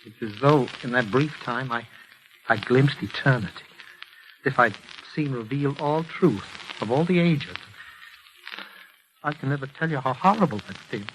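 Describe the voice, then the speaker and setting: Haunted, tormented male patient, played by a man, halting and intense, vintage radio melodrama, noisy old recording. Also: medium pitch, close to an everyday voice. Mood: haunted, brooding. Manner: intense, halting, agonized delivery. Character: haunted, anguished man cursed to speak the truth. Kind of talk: 1940s radio acting.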